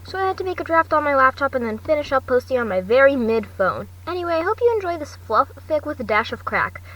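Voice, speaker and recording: A woman's voice: high voice, big pitch swings, quick pace, a bit bright. A woman narrating fan fiction as an amateur reader, often stumbling over words and restarting. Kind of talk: amateur audiobook narration with chatty asides. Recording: distant home mic, faint noise.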